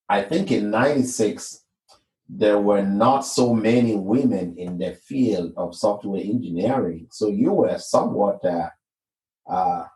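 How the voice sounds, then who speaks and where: Low-voiced podcast host in echoey room. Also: male voice, a little mellow. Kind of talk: podcast interview. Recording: echoey room, distant mic.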